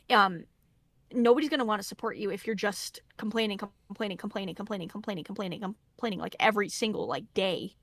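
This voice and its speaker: A woman's voice: medium pitch, fairly bright tone, no room sound. A woman guest talking casually and earnestly, giving advice on content creation and laughing easily. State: losing her voice. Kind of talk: casual podcast conversation.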